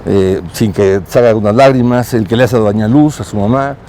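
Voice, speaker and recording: A man being interviewed on a city street as a consul, talking slowly with many pauses and fillers. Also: lowish voice, mellow. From Mexico. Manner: hesitant, many fillers and restarts.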